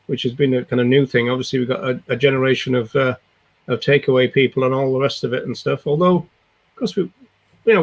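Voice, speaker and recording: An older man with a croaky voice from a cold, co-hosting a casual video-call discussion in a rambling, hesitant way. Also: mid-range voice. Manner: rambling, hesitant, lots of restarts. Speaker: co-host. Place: home room.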